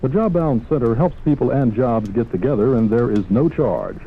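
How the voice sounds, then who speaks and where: A man's voice: medium pitch, expressive, moderate pace, husky. A man reading a scripted 1970s public service announcement on the radio. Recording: tinny old radio, faint background noise.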